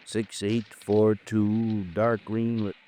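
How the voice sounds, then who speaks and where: A man's voice: on the low side, little pitch movement, slow pace, mellow. Folksy, blustering used car salesman. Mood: eager, folksy. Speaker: actor in a radio drama. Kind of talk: 1950s radio acting. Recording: vintage 1950s radio recording.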